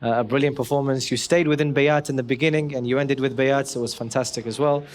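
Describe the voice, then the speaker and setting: A man judging a recitation competition, giving warm, praising feedback to a performer. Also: mid-range voice, fairly even intonation, fast talker. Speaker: competition judge.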